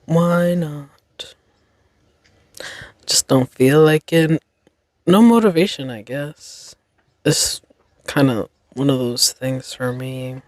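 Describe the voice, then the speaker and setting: A woman's voice: low-pitched, slow, long pauses, darkish tone, dry recording, studio quality. A young woman hosting her own podcast, talking alone in a casual, reflective, rambling way with plenty of fillers. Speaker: podcast host. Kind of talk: casual solo podcast monologue.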